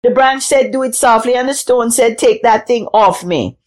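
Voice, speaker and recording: A woman voicing a frightened, excitable male farmer in a folk tale, agitated and breathless. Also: a little high, moderate pace, pitched above the performer's own voice, natural voice.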